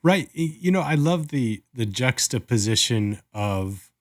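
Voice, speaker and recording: A man's voice: mid-range voice, animated, a little slow, dry recording, clean studio sound. A man co-hosting a review podcast in casual conversation, informal and agreeable, with loosely phrased remarks. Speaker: podcast co-host.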